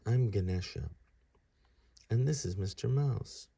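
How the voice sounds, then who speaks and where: A man's voice: fairly low pitch, unhurried, creaky, husky, pitched above the performer's own voice, exaggerated voice. A man voicing a young, playful, proud elephant-headed boy god in a read-aloud picture book, raising his voice to sound childlike. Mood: earnest. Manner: childlike, expressive.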